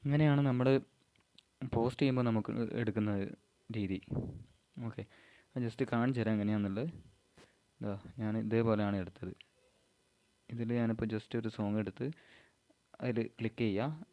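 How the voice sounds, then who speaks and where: A man from Kerala, giving an informal step-by-step tutorial in halting, short phrases. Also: fairly low pitch, highs cut off. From Kerala, India. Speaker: amateur vlogger giving an app tutorial.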